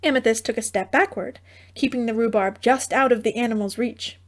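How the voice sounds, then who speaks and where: A woman reads a scripted fairy tale as an audiobook narrator. Her voice is fairly high, and her storytelling is theatrical and droll, with wry asides. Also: brisk.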